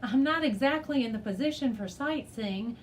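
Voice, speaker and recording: A woman's voice: a little high, lively intonation, normal speed, a little hiss or hum, pitched above the performer's own voice, close to an everyday voice. A woman reading the part of a wry, reluctant boy adventurer in a storybook, dry and grumbling.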